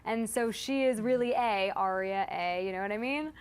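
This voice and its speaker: A young woman in her twenties co-hosting a fan chat show, excitable and rambling. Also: medium pitch, normal speed, smooth. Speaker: co-host.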